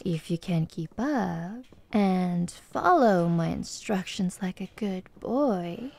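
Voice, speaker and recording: A woman's voice: on the low side, expressive, slow pace, vocal fry, mellow, heavily acted. A woman playing a flirty, confident tomboy MMA fighter in an ASMR roleplay, with a playful, teasing, coaxing manner. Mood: playful, inviting.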